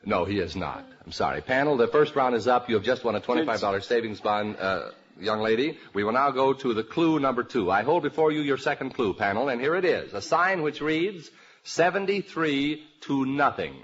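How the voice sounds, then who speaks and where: A man's voice: mid-range voice, animated, brisk, slightly rough. A man hosting a mid-century radio panel quiz, announcing in a formal, theatrical way with joking asides. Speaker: quiz show host. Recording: dull old radio recording.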